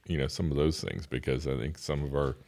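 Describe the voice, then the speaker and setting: A man hosting a podcast, who introduces his guests and asks friendly questions at a brisk pace. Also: very deep voice, vocal fry, a little mellow, dry recording. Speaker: podcast host.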